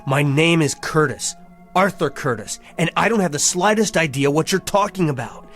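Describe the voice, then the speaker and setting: An actor playing a bewildered, desperate American husband in a radio drama, pleading and halting in an agitated way. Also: male voice, a little high, animated, fairly bright tone, faint music behind. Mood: insistent. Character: bewildered, desperate husband insisting on his identity.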